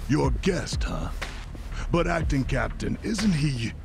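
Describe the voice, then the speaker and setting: Gravelly, menacing male gang lieutenant. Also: medium pitch, big pitch swings, slow pace, over music, heavily acted. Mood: suspicious. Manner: gruff, challenging. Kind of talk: dramatic video game cutscene dubbing.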